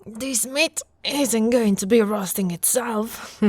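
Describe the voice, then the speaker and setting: A woman's voice: on the high side, takes it slowly, breathy edge, no room sound. A woman voicing a tough, teasing and affectionate orc warrior wife in a playful ASMR roleplay. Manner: playful banter, soft laughs and murmurs.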